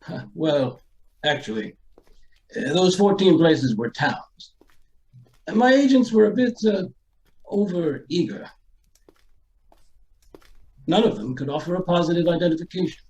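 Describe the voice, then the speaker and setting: An actor playing an aloof, genteel and coolly ruthless estate owner in a radio drama, with formal, hesitant delivery. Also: male voice, on the high side, animated, a little mellow, rough recording. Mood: blandly menacing. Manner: pompous, formal, hesitant pauses. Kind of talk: old-time radio drama acting.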